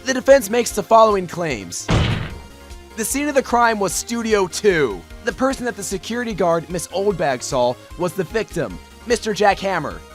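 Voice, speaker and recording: Casting: male actor as young defense attorney for a game fandub, high voice, earnest, declamatory, music underneath. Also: very animated, normal speed. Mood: assertive. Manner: declamatory courtroom argument. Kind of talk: amateur anime-game fandub acting.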